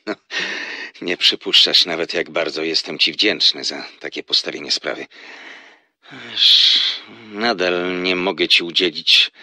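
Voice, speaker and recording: A man's voice: deep voice, a little slow, pauses often, bright, forceful tone, full character voice. An actor playing a secretive, courteous man in a radio play, with a fine announcer's voice and an earnest, formal, hesitant manner. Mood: grateful, formal. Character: secretive man, courteous, evasive, apologetic, guarded about his work.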